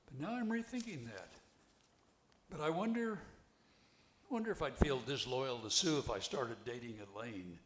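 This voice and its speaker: An older man reading the part of an earnest, helpful widower in a play, with a halting delivery. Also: medium pitch, expressive, slight background noise, lightly acted. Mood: hesitant, reflective. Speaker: amateur actor reading a play. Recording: echoey, roomy amateur recording.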